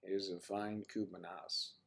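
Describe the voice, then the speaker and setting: Hoarse older man joking with viewers. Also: sits low, level tone, measured pace. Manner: teasing and flirty, often drops to a soft mutter. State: hoarse, losing his voice. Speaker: livestream host. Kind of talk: casual livestream chat with viewers.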